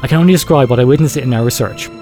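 A man playing a grave researcher, telling a horror confession in a measured, clinical and ominous way. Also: medium pitch, warm tone, soft background music, natural voice. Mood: clinical dread. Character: grave male researcher confessing secret horrific experiments. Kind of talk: dramatic horror storytelling, read aloud.

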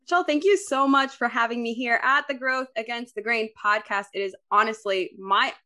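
A woman from the Dominican Republic, a guest on a podcast, talking in an energetic, upbeat way and laughing often. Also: a little high, fairly quick. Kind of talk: casual podcast interview.

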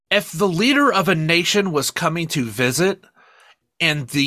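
Guy on a podcast, kinda high voice, swingy and animated, swears, riffing casually about stuff. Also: dry recording, studio quality. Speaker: podcast co-host. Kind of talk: casual chat reviewing media.

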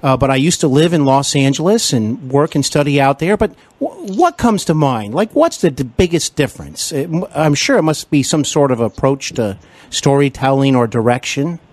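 A man's voice: medium pitch, expressive, moderate pace, darkish tone, no echo. An American man hosting a conversational interview in a courteous, effusive and wordy manner. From Cincinnati, Ohio, United States. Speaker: podcast host and interviewer.

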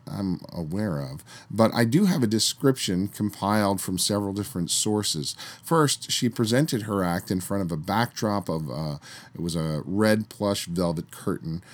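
A man hosting his own history podcast, talking casually with a slightly hoarse voice after a summer cold, with the odd filler and restart. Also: deep voice. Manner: conversational, with fillers and restarts while recounting history. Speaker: podcast host. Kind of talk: solo history podcast narration.

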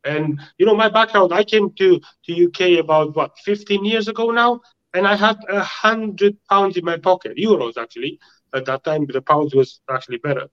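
A man from Romania speaks with a Romanian accent, his voice sitting a touch higher than most men's. He talks in a halting, searching way, often repeating words before a thought lands. The sound is a little dull and lo-fi. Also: smooth. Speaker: guest. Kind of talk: casual interview conversation.

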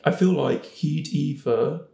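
A man with a creaky, mid-pitched voice talks casually on a panel. He thinks aloud as he goes, and the recording is noticeably roomy and echoey. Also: slow pace, dark tone. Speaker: podcast panellist, voice actor. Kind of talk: casual chat.

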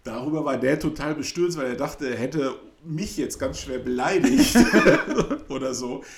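A man's voice: medium pitch, animated, a little slow. A middle-aged man chatting casually and telling a story, hesitant with many fillers and restarts. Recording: roomy echo.

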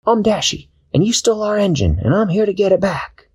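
A man voicing an eager young female sea explorer in a high, squeaky voice. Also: big pitch swings, fairly quick, husky, heavily acted. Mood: determined.

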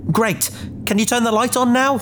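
A woman's voice: on the high side, fairly even intonation, moderate pace, heavy background noise, close to an everyday voice. A woman playing an earnest, loyal and anxious spaceship crew member with a polite, emotional manner in a sci-fi audio drama. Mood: politely anxious.

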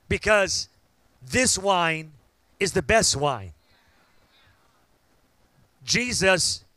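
A man, preaching a sermon and building to loud, fervent call-outs to the congregation. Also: high-pitched, no room sound. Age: 50s. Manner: preaching with rising shouts and call-outs to the crowd. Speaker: pastor.

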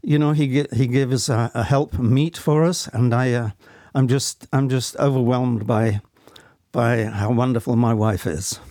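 An elderly man giving personal testimony as an interview guest, speaking in a halting, reflective and earnest way. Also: medium pitch, steady tone, a little mellow, no echo, studio quality. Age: 70s or older. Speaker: interview guest, stage actor.

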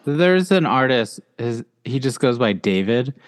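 A man's voice: medium pitch, very animated, unhurried, long reverb tail. A man co-hosting a podcast, telling a wry anecdote in casual chat. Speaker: podcast co-host.

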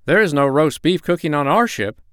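Man as a storybook gentleman doctor, excitable, kindly, raised pitch, dry recording. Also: brisk, clear, resonant tone, dry recording. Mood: puzzled.

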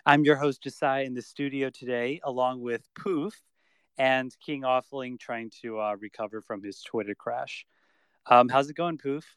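A man's voice: mid-range voice, normal speed, smooth, no room sound. A man hosting a podcast, opening with an upbeat scripted intro and then chatting casually. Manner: upbeat announcer delivery in the intro, relaxed after. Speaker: host.

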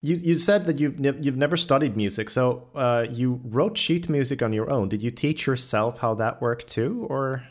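A man's voice: medium pitch, normal speed, few pauses. A man hosting a podcast, interviewing in a casual, conversational way with keen interest. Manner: enthusiastic, interested.

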